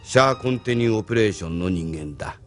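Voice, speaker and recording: An actor as a confident, animated argumentative man. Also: lowish voice, very fast, faint music behind, close to an everyday voice. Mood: assertive, critical. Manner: assertive, argumentative. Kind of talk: Japanese audio drama acting.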